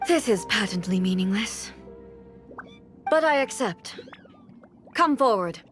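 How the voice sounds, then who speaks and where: A woman's voice: medium pitch, expressive, slow pace. A woman playing a stern, imperious immortal shogun in an anime game, with a cold, formal, commanding manner. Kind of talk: dramatic anime game voice acting. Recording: soft music behind the voice.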